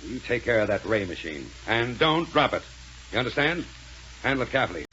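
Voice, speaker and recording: An actor playing a commanding, self-assured space villain who gives curt orders in a 1930s radio serial. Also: male voice, medium pitch, very animated, fairly quick, sharp tone. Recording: muffled 1930s radio recording, faint noise.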